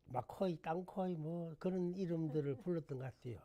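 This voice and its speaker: An older man from the Gyeongsang region with a Gyeongsang accent, a guest telling stories about himself in a relaxed talk-show interview, with chuckles and self-deprecating humor. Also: on the high side, a little fast. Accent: Gyeongsang Korean. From Gyeongsang province, Korea. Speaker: guest, former education official.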